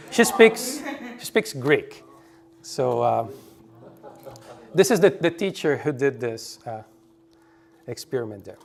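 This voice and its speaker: A man's voice: mid-range voice, big pitch swings, takes it slowly, creaky, long pauses. A man presenting at a conference, talking loosely with many restarts and quizzing the audience. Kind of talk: presentation talk with audience quiz.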